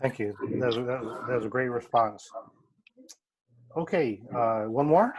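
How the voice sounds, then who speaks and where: A man leading a club meeting session in a friendly, halting way, with many fillers and repeats. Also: mid-range voice, a little mellow, a little hiss or hum, poor audio.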